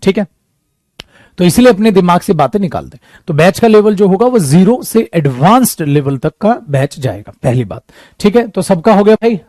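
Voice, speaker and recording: High-voiced man teaching an exam class. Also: dark tone, no room sound, studio quality. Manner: addresses students as beta and mere dost, acts out students' doubts. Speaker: English teacher for competitive exams. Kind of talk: coaching-class lecture.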